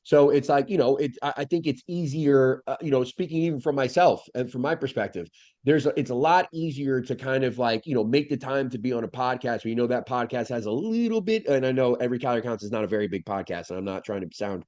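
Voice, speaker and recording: A man's voice: medium pitch, fast talker, darkish tone, no echo, highs cut off. A man co-hosting a podcast in casual chat over a video call, congested through the nose, rambling and swearing with lots of fillers and restarts. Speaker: podcast co-host.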